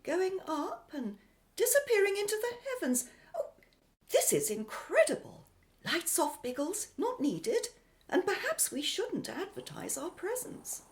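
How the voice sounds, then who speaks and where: A woman's voice: very high voice, sing-song, unhurried, heavily acted. An actress playing a plucky, playful woman explorer in an old-fashioned, exclamatory radio adventure style. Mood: awed, cautious. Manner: exclamatory, old-fashioned jolly British period speech. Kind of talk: radio adventure drama acting.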